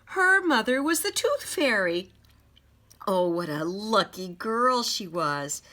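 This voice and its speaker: A woman reading a children's story aloud as an expressive narrator. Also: medium pitch, takes it slowly. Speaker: storybook reader.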